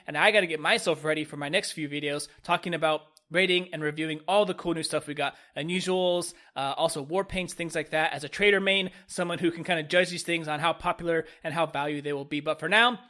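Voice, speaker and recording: A man's voice: high voice, bright, forceful tone. A man stuffed up with a cold, casually and enthusiastically reviewing a new game update as a gaming video host. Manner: upbeat, enthusiastic, repeats "really, really". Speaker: gaming YouTuber.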